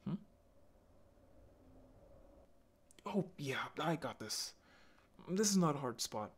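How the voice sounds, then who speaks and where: A man playing a lonely, apologetic young male zombie in an audio roleplay, speaking in a halting, intimate way. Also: a little high, very animated, husky. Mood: matter-of-fact. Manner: halting, stammering, sighing.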